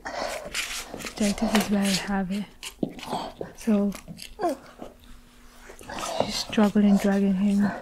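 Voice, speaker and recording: A young woman narrating an ASMR story in a hushed, deliberate way, often whispering, close on a lapel mic. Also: medium pitch, completely flat delivery, noisy background. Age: young adult. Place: small indoor room with curtains.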